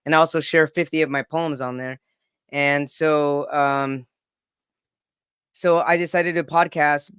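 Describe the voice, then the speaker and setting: A man's voice: on the high side, steady tone, a little slow, pauses often, a bit bright, smooth, no echo, clean studio sound. A man in his forties telling his own story as a podcast guest, earnest and often repeating words as he starts a sentence. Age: 40s. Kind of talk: casual personal storytelling.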